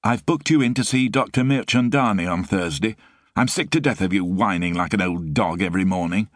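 A man's voice: deep voice, a little fast, no echo, clean studio sound, pitched above the performer's own voice, close to an everyday voice. A man voicing an exasperated young mother who scolds her lodger. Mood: scolding. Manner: nagging, scolding. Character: exasperated young woman, sharp-tongued single mother. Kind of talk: audiobook character voice.

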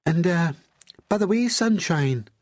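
A man's voice: high voice, animated, a little slow, dry recording, clean studio sound, pitched above the performer's own voice. A man voicing a teasing, seductive woman doctor with a posh Glaswegian accent and a crooning, playful manner.

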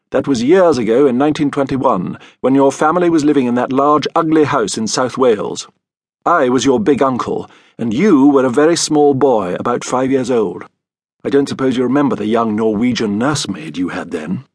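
A man's voice: medium pitch, expressive, normal speed, husky, dry recording. An actor playing a worldly, roguish older uncle with a British accent, reading his letter in an urbane, droll audiobook style. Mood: wry reminiscence. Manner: urbane, droll, florid phrasing.

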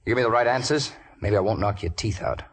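An actor playing a tough, wisecracking private detective with wry, clipped, menacing slang in 1940s radio style. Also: male voice, fairly low pitch, animated, fast talker, slightly rough. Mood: menacing, sardonic. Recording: noisy vintage 1940s radio recording.

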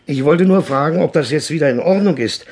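A man's voice: fairly high pitch, fairly quick, a little mellow, slight background noise, lightly acted. An actor playing a humble, fretful night watchman with a homely, polite way of talking, in a radio play. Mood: plaintive. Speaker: actor in a crime radio drama. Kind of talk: German crime radio play acting.

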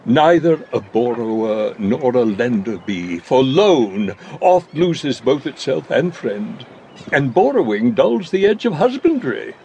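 Slow, pompous old father. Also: mid-range voice, sing-song, vocal fry, warm tone, highs cut off, a little hiss or hum, exaggerated voice. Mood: fond, sententious. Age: older. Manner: pompous, halting, sententious advice-giving. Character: fussy, rambling old father, a pompous royal counsellor. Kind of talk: Shakespearean audio drama acting.

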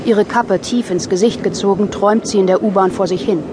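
A woman's voice: mid-range voice, steady tone, fairly quick, background noise. A woman narrating an audio drama in a scripted storytelling style.

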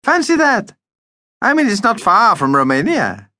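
Man playing a high-pitched, pompous little vampire. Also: very animated, normal speed, pushed and bright, no room sound, studio quality, exaggerated voice. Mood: excited. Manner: pompous, declaiming, complaining. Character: small grumpy male vampire, theatrical, self-pitying, easily offended.

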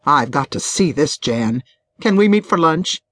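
A blunt, nosy girlfriend in an audiobook story, as a woman narrator plays her. Her voice is lowish and lively, brash and scolding, recorded dry and close. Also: a little slow, dry recording. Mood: avidly curious. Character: blunt, gossipy, crude middle-aged girlfriend confidante.

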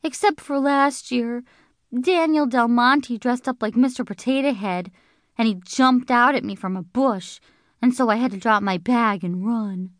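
A woman voicing a boastful little schoolboy who talks loud and blustery. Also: a little high, unhurried, dry recording, clean studio sound, pitched above the performer's own voice, close to an everyday voice.

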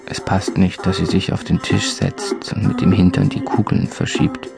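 Low, creaky deadpan village narrator. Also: male voice, normal speed. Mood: wry, deadpan. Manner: deadpan, short list-like phrases. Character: village narrator, dry and wry, male. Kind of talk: scripted radio play narration. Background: loud music.

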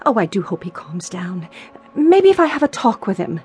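A woman's voice: mid-range voice, very animated, moderate pace, a little mellow, slight background noise, natural voice. A woman playing an anxious, easily wounded soap opera actress, melodramatic and hesitant, in an audio drama. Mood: worried, gentle. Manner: breathy sighs, hesitant, melodramatic.

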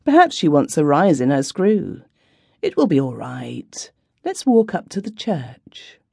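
A woman reading aloud who voices an affectionate young husband, soothing and gently teasing his wife. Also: fairly low pitch, very animated, a little slow, dry recording, clean studio sound. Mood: reassuring. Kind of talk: storybook reading.

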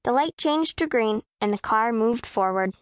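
A proud eleven-year-old girl , voiced by a woman, tells her own story in a children's audiobook. Her voice is high and recorded very dry. She speaks precisely, with composed, matter-of-fact self-possession. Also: lively intonation, normal speed, no echo, close to an everyday voice. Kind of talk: first-person audiobook narration of children's fiction.

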